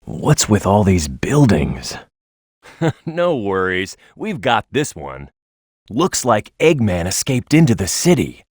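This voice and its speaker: A tough young male echidna hero from a video game, cocky and quick to flare up. The pitch swings very widely in an emphatic delivery. The recording is close and dry, with no room sound. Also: huge pitch swings, a little mellow, slightly rough, no echo, heavily acted. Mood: wary, grumbling, then cocky, relieved. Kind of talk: video game character voice lines, tutorial hints and battle shouts.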